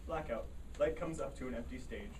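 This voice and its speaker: A man narrates scripted stage directions at a staged reading. His pitch is average, and he is heard from some distance with faint noise in the room. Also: fairly quick, a little mellow.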